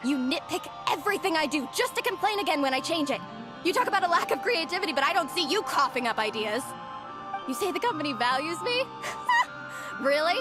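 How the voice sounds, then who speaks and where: An actress playing an overworked, exasperated young office worker in a video game, weary and pointed in delivery. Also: female voice, high-pitched, expressive, a little fast. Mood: fed up, indignant. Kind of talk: dramatic video game voice acting. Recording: music playing behind the voice.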